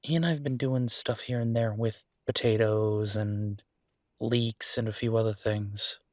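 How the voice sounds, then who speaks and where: A man playing an easygoing, affectionate restaurant owner in a roleplay audio drama, chatting casually as if thinking out loud to his partner. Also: on the low side, fairly even intonation, measured pace, no echo, clean studio sound, natural voice. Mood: musing, persuasive. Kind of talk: boyfriend roleplay audio drama, one-sided conversation.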